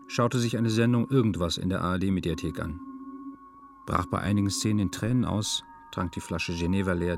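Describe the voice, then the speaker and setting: An actor playing a detached male narrator, reading a literary radio drama in a deadpan, meticulous, measured manner. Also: low-pitched. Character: detached male first-person narrator of a melancholy story.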